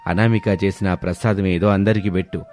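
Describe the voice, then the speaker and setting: A man's voice: sits low, fairly even intonation, fairly quick, a little mellow, close to an everyday voice. A man voicing a kindly, approving older father-in-law in a family story. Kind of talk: scripted story dialogue.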